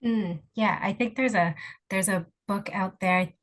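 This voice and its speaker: A woman's voice: medium pitch, unhurried. A woman librarian moderating a webinar interview over a video call, asking encouraging questions.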